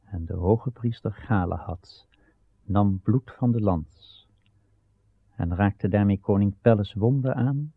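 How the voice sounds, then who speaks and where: A man narrating a radio drama as a solemn storyteller, measured and grave with a gravelly voice. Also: on the low side, dry recording. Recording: tinny, band-limited old radio recording.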